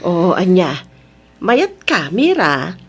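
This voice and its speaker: A woman's voice: on the low side, huge pitch swings, drawn-out words, husky, exaggerated voice. A woman acting a firm, humble middle-aged mother with an Ilocano Filipino accent, scolding and advising in a motherly way in an audio drama. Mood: encouraging.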